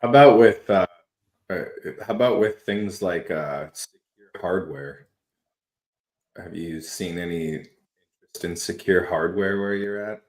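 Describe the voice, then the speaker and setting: A man's voice: low-pitched, a little slow, pauses often, a little mellow. A man hosting a podcast, asking a guest questions in a loose, casual way with some hesitation. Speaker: podcast host. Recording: echoey room.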